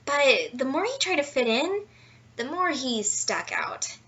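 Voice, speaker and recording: A high-voiced woman reads a children's bedtime story aloud. Her delivery is playful and expressive, leaning into key words for drama. The sound is a little dull and lo-fi, like a simple home recording. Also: measured pace. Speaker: storyteller reading a children's picture book aloud. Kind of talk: animated bedtime story read-aloud with asides.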